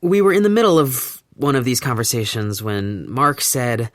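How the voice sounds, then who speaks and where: A man's voice: medium pitch, big pitch swings, normal speed, no echo, studio quality, lightly acted. A man voicing a wry, reflective young man. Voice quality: wry, gently amused, reflective. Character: young man recounting his lockdown relationship. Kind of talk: first-person audio drama narration.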